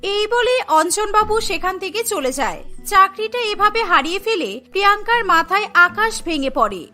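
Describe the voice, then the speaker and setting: Lady narrating a cartoon story, really high bright voice, bit of music under it.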